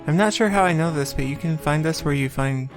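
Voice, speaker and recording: Woman playing an excitable naive fantasy adventurer. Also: low-pitched, fairly quick, faint music behind, lightly acted. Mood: excited, curious. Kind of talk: comedic fantasy podcast skit.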